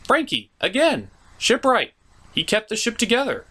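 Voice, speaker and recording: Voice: mid-range voice, huge pitch swings, moderate pace, pushed and bright. A host doing a scripted comedic video review in an opinionated, sarcastic, mock-exasperated way.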